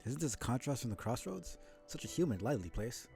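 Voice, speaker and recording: A man's voice: mid-range voice, sing-song, fast talker, creaky, warm tone, faint music behind. A man voicing a courteous, cultured mapmaker from a video game, speaking in a genteel, wistful manner. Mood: appreciative. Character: courteous, cultured male mapmaker, gently rambling. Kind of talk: video game character dialogue read aloud.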